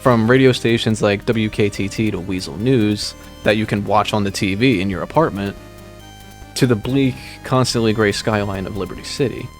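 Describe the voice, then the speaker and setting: A man reading a scripted video essay in a dry, wry, measured way. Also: on the low side. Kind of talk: scripted narration. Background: background music.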